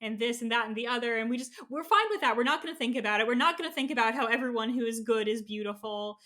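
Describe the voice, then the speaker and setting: A woman's voice: a little high, rapid-fire, sharp tone. A woman in a casual discussion, sharing opinionated, analytical takes as a podcast co-host.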